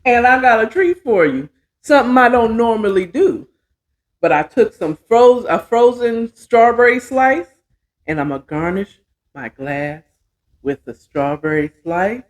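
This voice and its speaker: A woman's voice: fairly high pitch, lively intonation, measured pace. A middle-aged American woman hosting a show, chatting casually to viewers in a folksy way, often half talking to herself. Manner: folksy, talks to herself while working. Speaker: host of a storytelling show.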